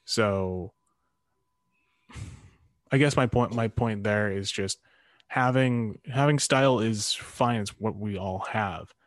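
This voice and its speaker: A man with a fairly low voice, recorded dry with little room sound. He talks casually, working out his opinions aloud in halting, restarted phrases. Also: no echo. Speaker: karate practitioner in discussion. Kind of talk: casual conversation.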